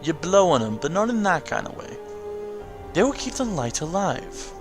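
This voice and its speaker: A man's voice: on the high side, sing-song, moderate pace, over music. A man voicing a mysterious, grand male mentor from a video game, theatrical and instructing. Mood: instructive.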